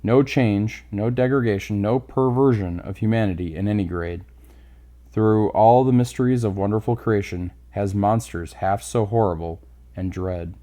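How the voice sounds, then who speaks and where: Man, lowish pitch, dark mellow tone, dramatic storybook narration. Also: normal speed. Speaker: streamer reading a classic novel aloud.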